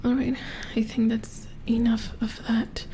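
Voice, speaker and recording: A woman playing a puzzled, mildly grumbling robot repair engineer in a soft-spoken ASMR roleplay, hushed and halting as if muttering to herself. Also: on the high side, flat delivery, slight background noise, natural voice, rough recording. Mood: puzzled, focused.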